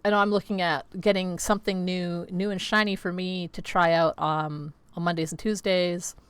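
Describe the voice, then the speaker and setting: Slightly scratchy, low-voiced woman streamer. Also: flat delivery, normal speed, smooth, no echo. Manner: rambling, filler-heavy, chatty. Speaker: livestreamer. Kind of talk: casual chat with viewers. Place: home streaming setup with green screen.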